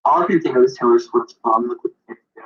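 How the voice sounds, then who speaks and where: A young man from Maine, a photographer and author, rambling in an animated, self-deprecating way as an interview guest on a video call. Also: mid-range voice, racing. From Maine, USA. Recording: muffled lo-fi video call audio.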